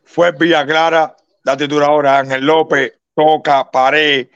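A man joins a rowdy baseball debate, teasing the others and calling their names over and over to cut in. His voice is high. The sound is dull and lo-fi. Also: little pitch movement, unhurried, smooth, no room sound. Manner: loud and teasing, repeats names to interrupt. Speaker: panelist.

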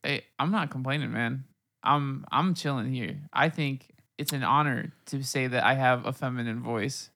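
Bright-voiced young man, playful podcast guest. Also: mid-range voice, normal speed. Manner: playful, laughing, teasing. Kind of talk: casual podcast banter. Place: home studio.

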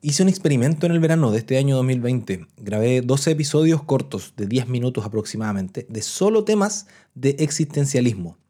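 A man's voice: medium pitch, expressive, fairly quick, hardly any pauses, dark tone, slightly rough. A Chilean man hosting a podcast in a chatty, casual way, often restarting his phrases as he introduces listener messages. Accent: Chilean Spanish. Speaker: podcast host.

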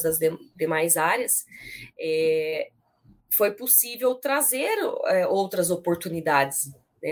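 A Brazilian woman in her thirties with a rural São Paulo accent and drawn-out r, talking casually as an interview guest. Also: mid-range voice. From São Paulo state, Brazil. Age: 30s. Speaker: interview guest, education professional.

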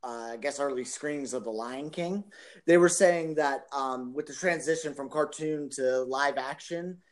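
A man's voice: mid-range voice, normal speed. A man chatting casually as a podcast panelist, explaining things in an informal way. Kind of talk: casual chat.

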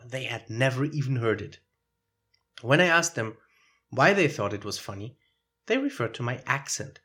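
A man's voice: mid-range voice, fairly bright tone, husky. A man with a foreign accent hosting a solo podcast, explaining his ideas in a reflective way. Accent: foreign accent in English. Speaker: podcast host.

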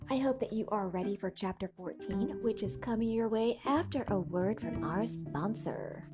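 A woman with a breathy, husky, asthmatic voice, hosting a podcast and reading aloud. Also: mid-range voice, expressive, normal speed, over loud music. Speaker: podcast host and book reader. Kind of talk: casual podcast intro, then audiobook-style reading of a classic novel.

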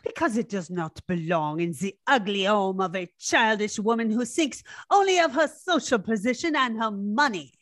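A woman's voice: medium pitch, lively intonation, a bit bright, dry recording, studio quality, lightly acted. A woman playing a proud, defiant young French femme fatale with a French accent in an old-time radio drama. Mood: proud, fervent. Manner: haughty, indignant, deliberate. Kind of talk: 1940s radio drama acting.

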